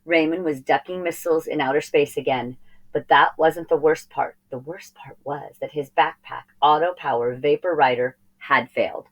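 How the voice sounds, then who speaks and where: A woman reading a children's story aloud in an expressive narrating style. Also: low-pitched, normal speed, poor audio. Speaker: storybook reader.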